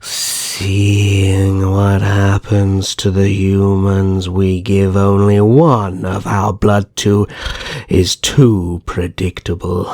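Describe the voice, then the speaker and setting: A man playing a smugly cruel, vengeful vampire naga in an ASMR roleplay, taunting and drawing out his words in a gravelly voice. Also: lowish voice, darkish tone, no room sound, clean studio sound, full character voice. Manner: drawn-out, taunting.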